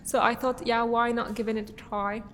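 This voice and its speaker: A woman's voice: on the high side, flat delivery, moderate pace, a bit bright, big-room sound, slight background noise. A young woman from Syria, a podcast guest, answering casually with many fillers and restarts.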